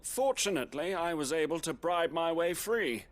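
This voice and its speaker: A resourceful, well-spoken traveller played by a man in a video game cutscene. His voice sits a little high, and he talks with dry, polite wryness. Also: lively intonation, moderate pace, lightly acted. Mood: wry, informative.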